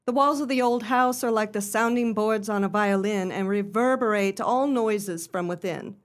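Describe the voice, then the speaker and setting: A woman's voice: mid-range voice, moderate pace, lightly acted. A woman playing a polite, helpful genteel lady in a radio play, with a proper, earnest manner. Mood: explanatory, curious.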